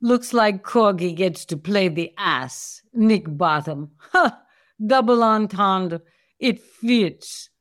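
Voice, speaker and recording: A woman playing an aloof, sardonic cat in an audio play, with a languid, disdainful, deadpan manner. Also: mid-range voice, slow pace. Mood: catty. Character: aloof, sardonic female cat, haughty neurotic feline.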